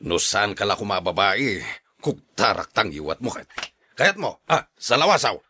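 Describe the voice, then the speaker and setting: A man's voice: on the low side, very animated, normal speed, bright, forceful tone, a little hiss or hum. An actor playing an angry, threatening man who scolds a gossip in a radio drama. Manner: menacing, scolding.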